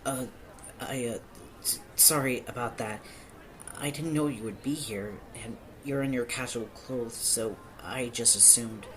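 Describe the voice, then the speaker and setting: Over clearly noisy ambience comes a man acting as a small-town police officer with a lowish voice. He is nervous and awkward, hesitant in how he puts things and quick to apologize, in the style of a one-sided audio roleplay. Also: lightly acted. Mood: flustered, apologetic.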